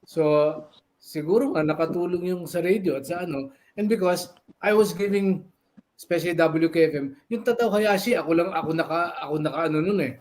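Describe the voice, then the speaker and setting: An older man with a Filipino accent telling stories in a casual chat as a radio veteran, animated and often repeating his phrases. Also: fairly high pitch, quick pace, low-quality recording. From Philippines. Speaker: guest, veteran radio DJ and record store owner.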